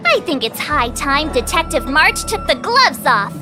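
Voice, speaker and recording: A woman voicing an excitable, theatrical young girl detective in a video game, playful and dramatic. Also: sits high, a little slow, over music, exaggerated voice. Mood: playfully self-important. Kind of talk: animated video game voice acting.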